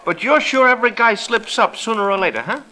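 An actor playing a breezy, confident gambler in a 1940s radio drama. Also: male voice, high-pitched, animated, quick pace, sharp tone. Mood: cocky. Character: cocky gambling man, bets on anything.

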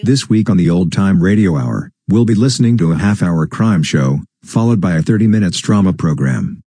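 A man introducing the show as a radio host, calm and measured. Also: deep voice, breathy edge, no echo. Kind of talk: scripted announcement.

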